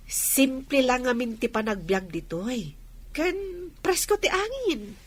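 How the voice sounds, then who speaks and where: A woman's voice: fairly high pitch, sing-song, slow, breathy edge, exaggerated voice. A woman with an Ilocano accent acting as a middle-aged provincial housewife and attentive wife in a radio drama. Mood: friendly, chatty.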